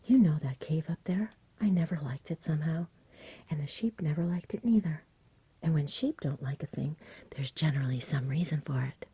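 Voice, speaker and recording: A nervous country shepherd played by a woman frets in a breathy storybook whisper. The telling is agitated and rambling, full of rustic turns of phrase. The tone stays soft and mellow. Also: low-pitched, animated, moderate pace, no echo, heavily acted. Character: frightened rustic shepherd father, fretful countryman.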